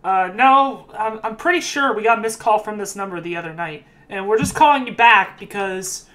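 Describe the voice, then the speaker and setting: A man's voice: high voice, normal speed, bright, forceful tone, poor audio. A man playing a polite, bumbling asylum worker on a prank call, hesitant, insistent and mock-earnest. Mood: apologetic, insistent.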